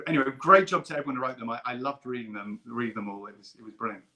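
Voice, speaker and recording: A man's voice: medium pitch, racing, sharp tone. A man chatting casually as a convention guest, speaking with emphatic enthusiasm. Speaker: guest performer at an online fan convention. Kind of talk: casual chat.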